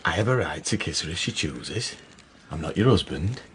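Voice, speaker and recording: An actor playing a bitter, brooding lover in a gothic period radio drama, speaking with contempt and menace. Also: male voice, deep voice, animated, normal speed, fairly bright tone, a little hiss or hum. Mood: bitter, wounded.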